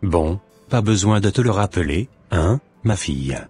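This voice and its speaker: A man's voice: low-pitched, lively intonation, measured pace, a little mellow, breathy edge, faint music behind, heavily acted. A man playing formal, self-assured men in a cartoon, with a stiff scripted delivery. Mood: stern fatherly. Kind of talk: animated cartoon dialogue.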